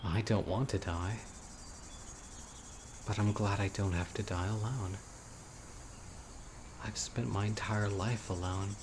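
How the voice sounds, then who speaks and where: A man playing an obsessive, dying young witch in an audio roleplay, speaking to his beloved in a deliberate, intimate, pleading way. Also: lowish voice, fairly even intonation, background noise. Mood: calm, ominous. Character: obsessive, dying young male witch, devoted yandere lover. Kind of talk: audio roleplay, dramatic monologue to listener. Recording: outdoor nature ambience, crickets and birds. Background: insects, birdsong.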